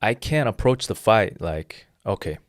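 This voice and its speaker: A man's voice: on the low side, big pitch swings, a little slow, no echo, studio quality. A man in his thirties, a jiu-jitsu instructor, explaining his philosophy earnestly in a casual interview with frequent restarts. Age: 30s.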